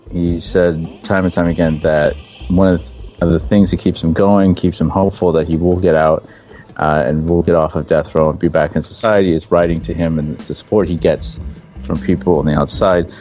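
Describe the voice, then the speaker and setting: A man's voice: low voice, a little mellow. A man co-hosting a casual talk show, speaking earnestly as he appeals to listeners. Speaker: co-host. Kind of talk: casual chat.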